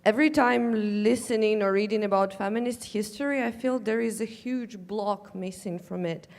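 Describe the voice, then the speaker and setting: A woman's voice: medium pitch, fairly even intonation, moderate pace, darkish tone, big-room sound. A woman from the former Soviet region with an Eastern European accent, hesitantly asking a question from the audience. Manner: hesitant, apologetic. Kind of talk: question from the floor.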